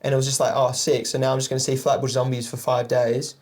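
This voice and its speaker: A laid-back Australian man in his late twenties talks as a podcast guest. His voice sits at an ordinary middle pitch. He jokes and swears freely in a loose, casual chat. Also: little pitch movement, fast talker, darkish tone. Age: late 20s. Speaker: podcast guest, music producer.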